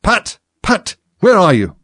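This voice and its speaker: A man's voice: high-pitched, wildly swooping pitch, takes it slowly, fairly bright tone, dry recording, pitched above the performer's own voice, heavily acted. A man voicing a fussy, bossy rabbit master in a children's storybook, scolding and barking orders. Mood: impatient, angry.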